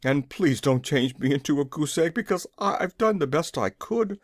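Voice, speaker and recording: A scheming storybook gnome king, acted out by a man, who blusters and pleads anxiously. The delivery is animated, with swooping pitch, and the recording is dry and close. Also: normal speed, dry recording. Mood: anxious, pleading.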